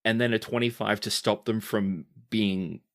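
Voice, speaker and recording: A man narrating a tabletop role-playing game as game master, describing scenes and calling for dice rolls. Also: normal speed. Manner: lively, shifting pitch as he narrates.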